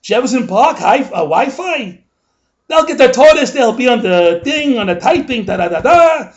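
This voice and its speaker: A man's voice: extremely high pitch, sing-song, normal speed, muffled recording. A man playing a grumbling older male New Yorker, ranting in a rambling, indignant comic monologue full of asides.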